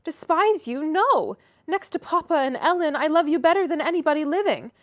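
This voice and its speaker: A woman reading aloud and voicing an impulsive, warm-hearted teenage girl who speaks earnestly and pertly. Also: high-pitched, animated, normal speed, dry recording, studio quality. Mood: affectionate. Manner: earnest, pert.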